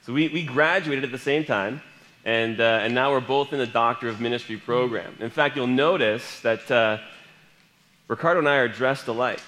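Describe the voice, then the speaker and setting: A man's voice: mid-range voice, normal speed, background noise. A man introducing a guest preacher at church with rambling jokes and many fillers. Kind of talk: humorous introduction.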